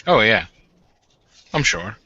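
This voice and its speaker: A man's voice: fairly low pitch, big pitch swings, slow, pushed and bright, breathy edge, heavily acted. A man playing a blunt, teasing male werewolf warden in a tabletop role-playing game. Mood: unfazed. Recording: dull lo-fi audio.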